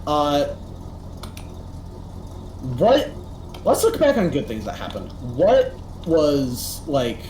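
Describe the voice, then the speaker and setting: A teenage boy chatting casually as co-host, rambling and animated with lots of fillers. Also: a little high. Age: teens. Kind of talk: casual chat. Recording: echoey room, faint noise.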